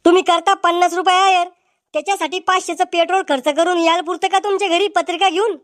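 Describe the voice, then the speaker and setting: Man doing a shrill, blunt cartoon village lad. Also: level tone, fast talker, a bit bright, a little hiss or hum. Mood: mocking. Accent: Varhadi Marathi. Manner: blunt, mocking, complaining.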